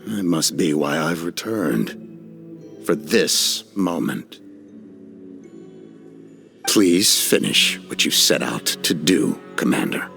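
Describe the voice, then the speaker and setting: An actor voicing a grave, commanding warrior in a video game, cold and deliberate, with a gravelly voice and measured, solemn delivery. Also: male voice, rumbling low, animated, bright, forceful tone, soft background music, exaggerated voice. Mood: solemn, insistent. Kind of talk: dramatic video game voice acting.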